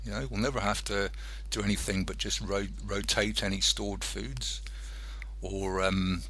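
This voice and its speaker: A male radio host with a low, creaky voice and a bright edge. He rambles casually and haltingly, often thinking aloud as he goes. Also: fairly even intonation, rough recording.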